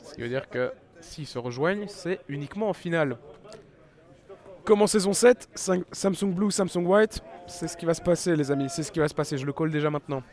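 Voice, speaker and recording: A man's voice: a little high, a little fast, a little mellow. A man with a head cold giving live esports commentary, chatty and full of fillers and restarts. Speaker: esports caster.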